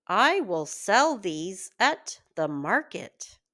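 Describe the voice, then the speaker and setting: A woman's voice: medium pitch, sing-song, very slow, fairly bright tone, full character voice. A woman voicing a humble, grateful male shoemaker in a children's fairy tale. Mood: amazed, delighted.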